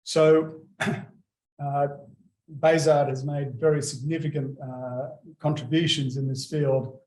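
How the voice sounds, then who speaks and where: Male host, formal introduction, halting with many fillers; echoey hall sound. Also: on the high side, little pitch movement, darkish tone. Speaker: institute director introducing a lecture.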